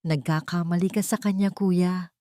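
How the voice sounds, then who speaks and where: A woman voicing a concerned, protective young rich man in a story, calm but insistent. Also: on the low side, moderate pace, no echo, studio quality, natural voice. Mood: firm, insistent.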